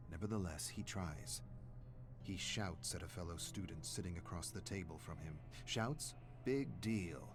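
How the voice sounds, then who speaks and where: A male narrator with a very low voice over a clear music bed. He reads scripted drama with intensity, pausing to hammer repeated phrases.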